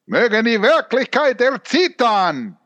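Man acting a pompous, high-pitched eccentric artist. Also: sing-song, slow pace, fairly bright tone, full character voice. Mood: grandiose. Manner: pompous, theatrical. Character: grandiose eccentric surrealist male painter, self-declared great masturbator. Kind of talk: surreal radio play acting.

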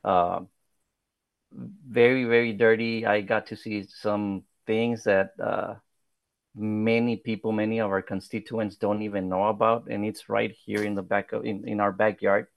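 A man in his thirties with a Spanish accent, speaking English as his second language. His low voice has a gravelly fry and sounds dry and close, with no room around it. He talks in a shy, halting way, full of fillers and restarts. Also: little pitch movement, dry recording. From South Texas. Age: 30s. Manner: hesitant, many fillers and restarts; modest, soft-spoken. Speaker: political candidate. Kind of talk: podcast interview.

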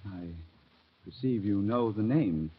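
A man acting in a 1930s radio drama as a sly, insinuating informer who probes with theatrical, deliberate pauses. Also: on the low side, expressive, slightly rough, full character voice. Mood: sly, probing. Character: sly, insinuating male informer, probing and needling. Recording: dull, muffled vintage radio recording.

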